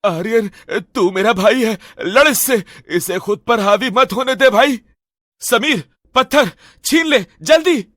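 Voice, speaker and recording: Man playing a high-voiced anxious young friend. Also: takes it slowly, fairly bright tone, dry recording, full character voice. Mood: pleading. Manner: nervous, pleading. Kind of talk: Hindi animated horror story acting.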